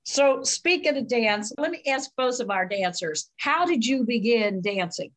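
A woman's voice: a little high, lively intonation, unhurried. A woman hosting a podcast interview, gushing and enthusiastic with her guests. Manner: enthusiastic, effusive praise. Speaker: podcast host. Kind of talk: casual interview.

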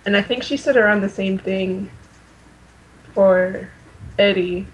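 A woman's voice: mid-range voice, slow, muffled recording, a little hiss or hum. A woman co-hosting a fan podcast, talking casually and a little hesitantly with lots of "like.". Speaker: podcast co-host.

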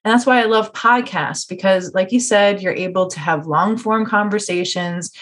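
A woman's voice: medium pitch, normal speed, fairly bright tone. Earnest, encouraging woman hosting a podcast. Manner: earnest, encouraging, talks at length. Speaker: podcast host. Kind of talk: conversational podcast interview.

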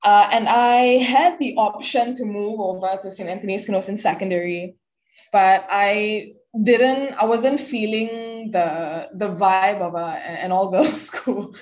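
A woman's voice: medium pitch, a little slow, darkish tone. Chatty Singaporean woman guest. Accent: Singaporean English. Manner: chatty, many "like" fillers. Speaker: podcast guest. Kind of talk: casual interview chat.

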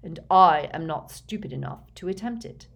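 A woman voicing a cold, controlled, calculating man in an audiobook, speaking flatly, slowly and quietly. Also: fairly low pitch, gravelly. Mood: flat, stern. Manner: flat, slow, quiet, measured.

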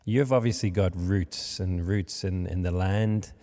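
Man, podcast host, gravelly voice, hesitant, long reverb. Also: deep voice, dark tone. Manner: hesitant, halting with many fillers. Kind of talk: interview. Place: room in a monastery.

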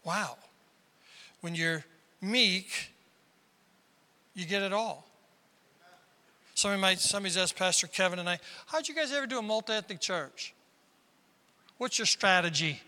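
Man preaching, high squeaky tenor, very bright edge, emphatic bursts, long hall reverb. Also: expressive, measured pace, long pauses. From South Dakota. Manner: emphatic preaching, rising to sudden loud bursts. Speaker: pastor. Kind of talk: sermon. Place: large church hall.

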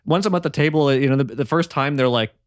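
A man hosts a loose, chatty podcast. He rambles and often stumbles over repeated words, with a mid-range pitch. The sound is dry and close to the mic. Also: quick pace, no room sound. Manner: rambling, repeats words while searching for them. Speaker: podcast host. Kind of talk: casual chat. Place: home in eastern Germany.

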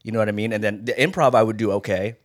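A man's voice: fairly low pitch, steady tone, very fast. An American man hosting a casual podcast chat, talking in slangy, profane, bro-heavy language. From Hawaii and Las Vegas, United States. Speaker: podcast host.

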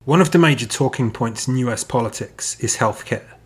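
A man hosting a podcast, reading a measured, scripted introduction. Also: medium pitch, slightly rough. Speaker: podcast host. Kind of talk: scripted narration.

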